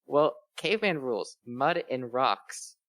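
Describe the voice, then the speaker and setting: A man with a mid-range voice in a dry, close recording. He talks in a casual, conversational way and delivers his jokes deadpan. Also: medium pitch, a little slow, no room sound. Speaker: fan fiction podcast reader. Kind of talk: casual joking discussion.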